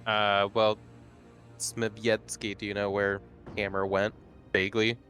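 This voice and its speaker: A man with a lowish voice plays in a tabletop roleplaying session. He talks casually as he plans with the group, with faint music underneath. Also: level tone, unhurried, a bit bright. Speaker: player in a tabletop roleplaying game. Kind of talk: casual game table chat.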